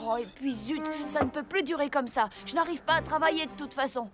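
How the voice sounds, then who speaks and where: High young boy played by a woman, earnest, expressive, kind-hearted cartoon apprentice, loud music underneath. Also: fairly quick, close to an everyday voice. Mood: indignant. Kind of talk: French animated series dubbing.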